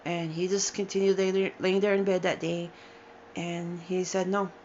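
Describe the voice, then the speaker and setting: A woman in her forties telling a painful personal story, halting and emotional, with sighs and restarts, tired and upset. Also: on the low side, little pitch movement, a little mellow, muffled recording, a little hiss or hum. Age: 40s. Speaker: storyteller sharing a personal account. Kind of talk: unscripted personal testimony.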